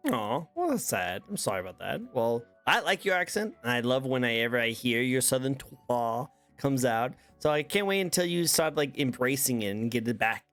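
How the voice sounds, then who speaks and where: A man hosting a casual interview, chatty and quick to laugh. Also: mid-range voice, expressive, a bit bright, faint music behind. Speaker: interview host.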